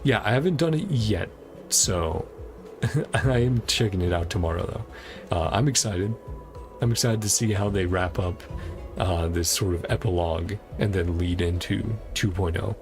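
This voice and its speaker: Very low-voiced, gravelly male game streamer. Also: measured pace, long pauses. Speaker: live streamer. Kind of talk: casual livestream chat while playing a game. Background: game music.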